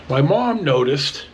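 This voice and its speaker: An American man telling casual personal stories to camera as a vlog host, in an animated, halting, rambling way. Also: fairly high pitch, slight background noise. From northern California, United States.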